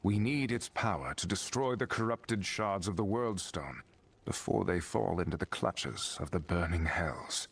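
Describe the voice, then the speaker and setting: An actor playing an earnest, resolute adventurer in a fantasy game, husky, serious and formal. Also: male voice, sits low, lively intonation, unhurried, slight background noise, heavily acted.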